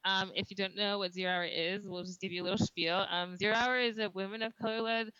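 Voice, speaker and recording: A woman's voice: medium pitch, steady tone, fast, pushed and bright, clean tone, dry recording, muffled recording. An eighteen-year-old woman from Northern Virginia chatting casually and jokingly as a podcast co-host. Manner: joking, upbeat, filler-heavy. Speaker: podcast co-host, youth organizer.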